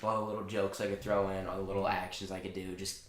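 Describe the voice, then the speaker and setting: A man's voice: low voice, little pitch movement, quick pace. A teenage boy guest on a school podcast, chatty and upbeat. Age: teens. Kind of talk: casual chat. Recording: echoey room with faint hiss.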